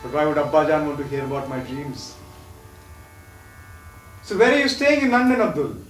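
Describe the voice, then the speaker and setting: A man's voice: a little high, expressive, normal speed, natural voice. An actor playing a bitter young man estranged from his rich family in a stage play, delivering his lines in an impassioned, declamatory way. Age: young adult. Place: theatre stage. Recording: echoey stage from far off, faint music.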